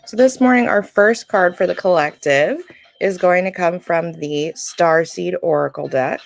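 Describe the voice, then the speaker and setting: A woman with a scratchy voice hosting a live stream, giving a casual spiritual card reading in an informal, halting, explanatory way. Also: lowish voice, gravelly. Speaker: live-stream oracle card reader and host. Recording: music playing during a live stream.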